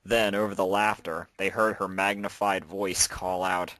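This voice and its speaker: A man's voice: deep voice, level tone, measured pace, fairly bright tone, dry recording. A man reading out a story as its narrator, in a scripted storytelling style. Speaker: narrator and fan fiction author.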